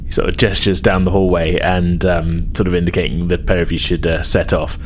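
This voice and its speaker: A man with a low voice narrates a tabletop game as game master. He improvises his descriptions in halting pieces, and there is clear noise behind him on the recording. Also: flat delivery.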